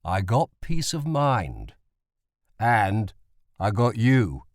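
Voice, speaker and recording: A man voicing a gentle, modest father in an audiobook, measured and reassuring. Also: fairly low pitch, big pitch swings, no echo, clean studio sound, exaggerated voice. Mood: affectionate.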